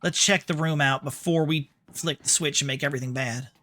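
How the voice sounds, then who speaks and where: A man's voice: on the high side, steady tone, a little fast, pushed and bright, dry recording. A man from New York with an American accent giving casual, sarcastic, sweary gameplay commentary. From New York, United States. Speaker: game streamer.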